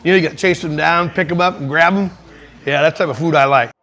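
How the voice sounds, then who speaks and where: A man's voice: on the high side, fast, a bit bright. A man with a Boston accent hosting a casual travel and food vlog, chatting in a rambling, enthusiastic way. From Boston area. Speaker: travel show host.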